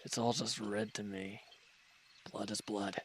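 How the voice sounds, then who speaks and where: A man playing a wounded, irritable villain in an audio roleplay, gruff and curt but grudgingly caring, with an exasperated, grumbling delivery. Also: fairly low pitch, flat delivery, unhurried, a little hiss or hum. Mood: pained, grumbling. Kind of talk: scripted audio roleplay acting.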